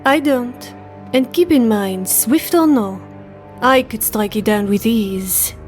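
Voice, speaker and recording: Woman as a slow, haughty countess. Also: on the high side, darkish tone. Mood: haughty, threatening. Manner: imperious, cold. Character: haughty, menacing cursed countess. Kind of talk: fan-dub game character acting. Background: music.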